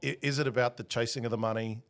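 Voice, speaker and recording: A man in his forties, born in Argentina and raised in Australia, telling his story as a podcast guest in a relaxed, self-deprecating way with many fillers. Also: medium pitch, racing. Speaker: guest, executive coach. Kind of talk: casual interview talk.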